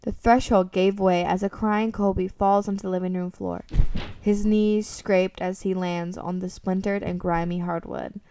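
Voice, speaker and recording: A woman's voice: medium pitch, fairly even intonation, normal speed, mellow, slight background noise. A woman reading scripted story narration for an amateur radio drama, recorded in a room a little away from the microphone. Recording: roomy, slightly distant microphone.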